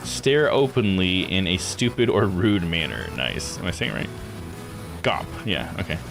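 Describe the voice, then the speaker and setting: A man's voice: sits low, lively intonation, moderate pace, creaky, over music. A man streaming a game playthrough with casual commentary, musing aloud in an easygoing way.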